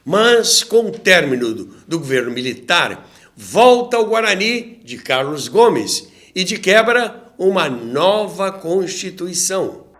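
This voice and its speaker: A man's voice: high-pitched, sing-song, slow, heavy background noise. A man with a Brazilian accent narrating a documentary in a conversational, storytelling way, with asides to the listener. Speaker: host and narrator of a radio history show.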